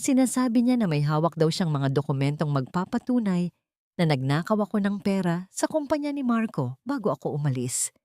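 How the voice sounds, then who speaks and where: A woman's voice: on the low side, big pitch swings, fairly quick, dark tone, no room sound, clean studio sound. Earnest Filipino heroine, read by a woman narrator. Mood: fearful, hushed.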